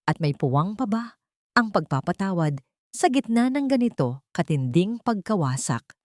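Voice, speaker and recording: A woman storyteller with a low voice and a close, dry sound. She narrates a scripted drama in a solemn, emotive manner. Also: big pitch swings, moderate pace, no echo.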